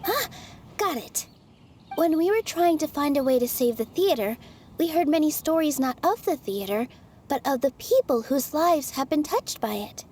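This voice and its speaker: An actress voicing a gentle, earnest young woman in a video game, speaking softly and reassuringly. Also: high voice, slight background noise. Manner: soft, thoughtful, reassuring. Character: gentle young female dancer, earnest and encouraging.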